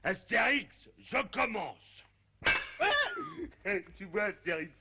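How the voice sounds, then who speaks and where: A man's voice: sits high, lively intonation, slow pace, gravelly, slightly rough, heavily acted. An actor playing a big, hungry, good-natured male Gaul warrior who talks with a chuckle, on a dull old cartoon soundtrack with hiss. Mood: jovial.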